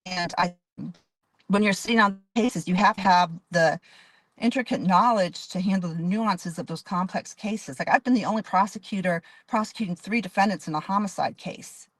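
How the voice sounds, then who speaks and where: A woman's voice: mid-range voice, moderate pace, no echo, poor audio. A woman in her fifties from Dallas, a judge running for office, giving earnest, detailed interview answers with frequent restarts. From Dallas, Texas. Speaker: judge and candidate.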